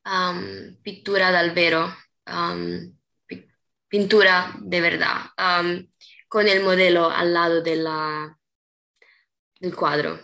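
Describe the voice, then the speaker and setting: A young woman from Peru talking slowly as an interview guest, often pausing and starting her sentences over. Her voice sits a little low and has a gravelly fry to it. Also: flat delivery, pushed and bright. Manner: hesitant, many ums and restarts. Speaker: interview guest, artist's model. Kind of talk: casual interview chat.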